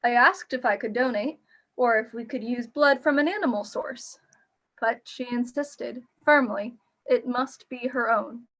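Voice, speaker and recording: A woman's voice: a little high, a little slow, a bit bright. A woman playing a worried, devoted assistant who narrates a gothic radio play in an earnest, literary storytelling manner. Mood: somber, concerned. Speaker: voice actor and narrator.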